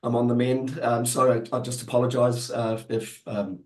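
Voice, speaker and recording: Croaky-voiced man from New Zealand. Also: medium pitch, little pitch movement, normal speed, a little mellow, echoey room. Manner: hesitant, many fillers and restarts. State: croaky, recovering from illness. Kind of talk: webinar interview answers. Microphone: video call.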